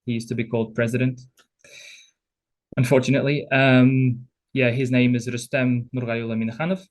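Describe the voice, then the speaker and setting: Man giving a relaxed talk. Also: medium pitch, normal speed. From Tatarstan, Russia. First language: Tatar. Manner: easygoing, frequent ums and small laughs. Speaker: guest speaker. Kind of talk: lecture presentation with slides. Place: university event room.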